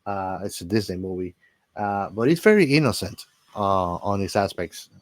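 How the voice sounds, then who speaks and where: A man's voice: deep voice, lively intonation, normal speed, no room sound. A man chatting casually as a podcast co-host, very informal and often tagging his phrases with "man". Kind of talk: casual film podcast chat.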